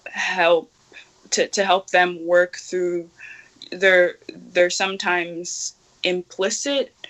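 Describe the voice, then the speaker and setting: A young woman from Nigeria answering interview questions earnestly and thoughtfully, often pausing and restarting her sentences. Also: on the low side, fairly bright tone, poor audio. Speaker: activist guest.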